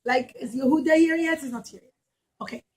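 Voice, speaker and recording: A woman preschool teacher telling a story to young children in an animated, questioning way, repeating key words. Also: high-pitched, a little fast.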